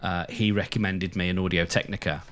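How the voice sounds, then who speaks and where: A man with a slightly low voice talks casually as a podcast co-host. He speaks conversationally and hesitates, often pausing partway through a sentence. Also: level tone, fairly bright tone, slight background noise. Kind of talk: casual chat.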